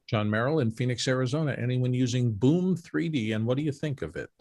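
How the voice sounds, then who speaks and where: A man reading viewer questions aloud to a panel in a plain, steady way. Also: mid-range voice, fairly quick, no room sound, clean studio sound.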